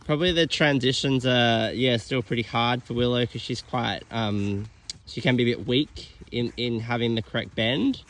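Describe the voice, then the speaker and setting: A man's voice: medium pitch, moderate pace. Laid-back man commenting on a ride. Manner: relaxed, filler-heavy explaining. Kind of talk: casual training commentary.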